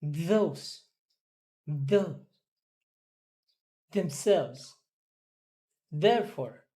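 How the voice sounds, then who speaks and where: A woman, an English student carefully and haltingly repeating drill words and practice sentences. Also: sits low, animated, mellow. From Philippines.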